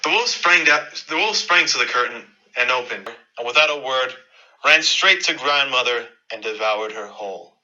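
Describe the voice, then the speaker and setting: A man's voice: medium pitch, expressive, moderate pace, sharp tone, highs cut off. A man narrating a scripted fairy-tale radio play in a dramatic storytelling manner.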